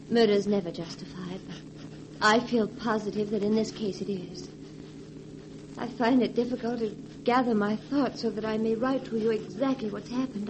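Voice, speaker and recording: An actress as a sensible, earnest young woman in a 1940s radio play. Her mid-pitched voice is composed and precise, with a faint hiss of old recording noise around it. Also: highs cut off, natural voice. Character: sensible, protective, grave young woman, elder-sister type. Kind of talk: 1940s radio drama acting. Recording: noisy vintage radio recording.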